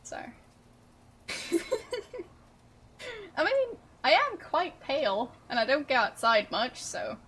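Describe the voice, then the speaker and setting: Lady streamer chatting with viewers, slow and easy, a bit high, amused. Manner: relaxed, amused, answering chat. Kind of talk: casual chat with viewers.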